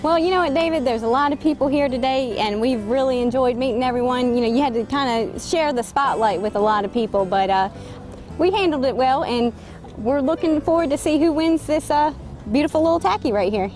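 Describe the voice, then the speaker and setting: Cheerful female co-host, quite high voice, faint music behind. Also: fairly quick, darkish tone. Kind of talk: show wrap-up.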